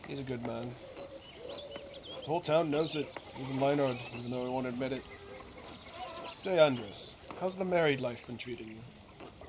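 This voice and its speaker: Village gossip for a game, read by a man: earnest, talkative, folksy, emphatic, medium pitch, over noticeable animal ambience. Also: normal speed, pauses often, noisy background, natural voice. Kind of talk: game dialogue read aloud in character.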